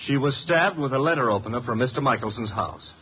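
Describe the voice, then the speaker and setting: An actor playing a breezy, wisecracking detective's assistant with a wry, casual manner, in 1950s radio drama style. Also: male voice, medium pitch, animated, fast talker, close to an everyday voice. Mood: brisk. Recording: muffled, noisy 1950s radio recording.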